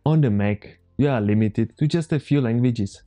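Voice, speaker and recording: A man in his thirties with a Czech accent, calmly walking viewers through a computer tutorial step by step as the channel's host. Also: medium pitch, moderate pace, faint music behind. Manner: calm, methodical step-by-step explaining. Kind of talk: screen-recorded software tutorial. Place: home room, indoors.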